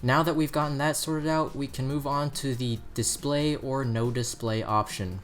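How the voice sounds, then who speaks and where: A man with a mid-pitched voice presents a buying guide. He explains product options in a review narration style. Soft music plays behind his voice. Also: steady tone, moderate pace.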